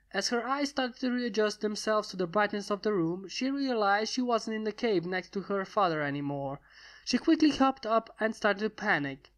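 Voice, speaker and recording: A woman reading a fantasy story aloud as scripted, expressive narration. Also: mid-range voice. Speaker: fan fiction reader.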